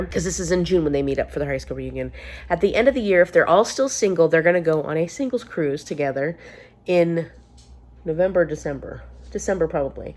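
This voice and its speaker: A woman vlogging casually to camera, chatty and upbeat, a little congested with a sore throat. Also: sits low. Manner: chatty, upbeat, rambling with restarts. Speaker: book vlogger.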